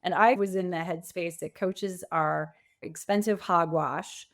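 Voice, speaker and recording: A woman's voice: lowish voice, steady tone, measured pace. Woman coach giving reflective, emphatic answers. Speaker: podcast guest, pivot coach.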